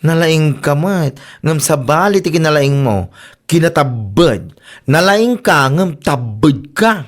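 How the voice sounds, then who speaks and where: A woman with an Ilocano accent playing a sharp-tongued village neighbour in a comedy radio drama, blunt and berating. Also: deep voice, animated, takes it slowly, full character voice. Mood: scolding, exasperated.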